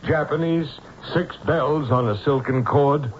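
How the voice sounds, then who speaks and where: A man's voice: a little high, unhurried, natural voice. An actor in an old-time radio drama playing an insistent, accusing male customer. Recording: dull radio sound, clear noise.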